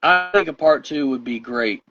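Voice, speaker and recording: A man's voice: medium pitch, normal speed, fairly bright tone. A tired man with a voice wearing out, rambling through a casual chat show as co-host. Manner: rambling, tired, laughs often. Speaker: podcast co-host. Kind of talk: casual chat about wrestling. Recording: dull lo-fi sound.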